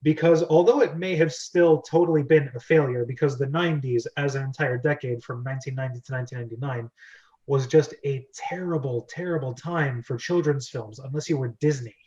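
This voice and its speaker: A man's voice: mid-range voice, brisk, warm tone. A man hosting a casual group discussion, chatty and explanatory as he talks, on a lo-fi recording. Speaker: host of a script reading club. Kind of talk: casual group discussion with read-aloud script.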